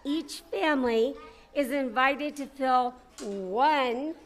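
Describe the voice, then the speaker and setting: Slow, high-voiced woman making an announcement. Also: rough recording. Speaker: announcer for a charity appeal. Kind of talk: simple scripted announcement to families. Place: social hall, live.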